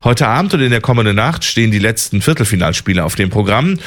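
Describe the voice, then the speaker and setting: A man reading the radio news in a steady, even, scripted delivery. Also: fairly low pitch, quick pace. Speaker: newsreader.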